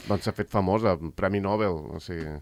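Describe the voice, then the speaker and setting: A man interviewing a guest, asking measured questions with many fillers. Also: low voice, dry recording. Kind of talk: radio interview.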